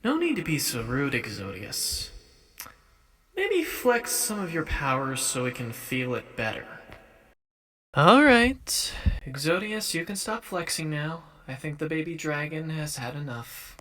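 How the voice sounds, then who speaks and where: A man's voice: fairly high pitch, big pitch swings, unhurried, pushed and bright, no room sound, full character voice. A man voicing a confident, wisecracking young card duelist in an anime fan production, casual and theatrical. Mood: casual, wry. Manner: casual, wry, theatrical when announcing moves.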